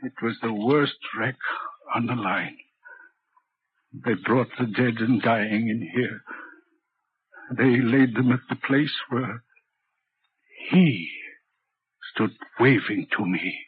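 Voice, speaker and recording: A man's voice: mid-range voice, takes it slowly, pauses often, close to an everyday voice. An actor in a 1940s radio drama playing a haunted, guilt-ridden railway signalman, speaking in an anguished, agitated way with emphatic repetitions. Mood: troubled, grim. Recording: muffled, noisy vintage 1940s radio recording.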